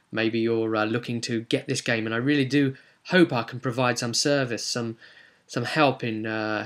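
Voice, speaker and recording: A man's voice: medium pitch, a bit bright. A man hosting as game master, telling the story of a tabletop roleplay session in a conversational way. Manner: conversational storytelling, acting out characters' remarks.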